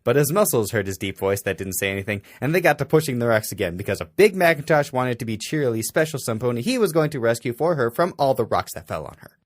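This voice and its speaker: A man's voice: mid-range voice, sing-song, quick pace, dry recording. A man reading a story aloud in an exaggerated, mock-serious dramatic way. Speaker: amateur fanfiction reader.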